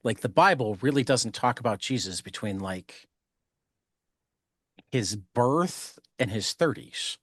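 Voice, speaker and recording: A man's voice: mid-range voice, expressive, slow, breathy edge, dry recording. Guy hosting a podcast, rambles and jokes around, dry close mic. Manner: rambling and jokey, laughs often. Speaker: podcast host. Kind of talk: casual podcast conversation.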